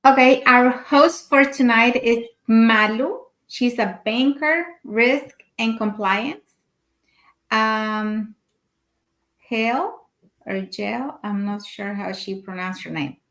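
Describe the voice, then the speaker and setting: A woman's voice: a little high, slow pace, a bit bright. A woman from Mexico, a grandmother, co-hosting an online live show and reading out announcements in a friendly way. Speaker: co-host.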